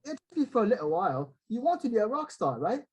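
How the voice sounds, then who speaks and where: A secretive British rock star in hiding, urging in hurried, hushed tones, as a man puts him on while reading. His lively, raised pitch carries a slightly husky edge. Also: exaggerated voice. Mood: coaxing.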